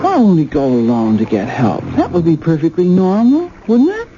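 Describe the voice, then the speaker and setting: An actress playing an anxious, gentle, wary wife at sea in an old-time radio drama, speaking earnestly and hesitantly. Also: female voice, low voice, huge pitch swings, normal speed, background noise. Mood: frightened, worried. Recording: muffled old radio broadcast with music behind.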